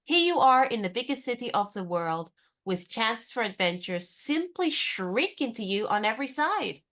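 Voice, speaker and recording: A woman playing a plucky, independent American in her twenties in a radio comedy, speaking in a brisk, forthright, encouraging way. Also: on the high side, big pitch swings, a bit bright. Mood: brisk, urging. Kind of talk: radio play comedy dialogue.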